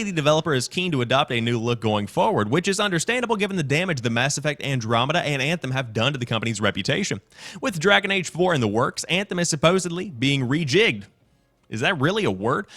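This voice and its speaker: A man's voice: mid-range voice, pushed and bright. A man hosting a gaming news show, mixing read-out news with his own off-the-cuff commentary.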